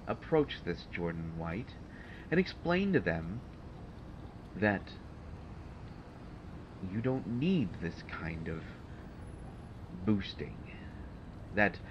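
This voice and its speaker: Through a dull recording with loud background noise comes a man acting as a haughty supervillain in an audio roleplay. He speaks haltingly and with dry sarcasm, in a low voice, exasperated by the hero he grudgingly helps. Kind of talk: roleplay audio drama, one side of a dialogue.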